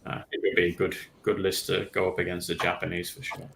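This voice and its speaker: A man's voice: sits low, monotone, fast talker, creaky, a bit bright, a little hiss or hum. A man on a livestream panel giving his opinions in a casual hobby discussion, with tentative asides.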